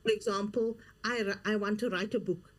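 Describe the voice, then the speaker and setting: A woman's voice: mid-range voice, steady tone, brisk. A middle-aged woman, a coach and author speaking as an interview guest, earnest and emphatic, often repeating her key phrases. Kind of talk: interview conversation.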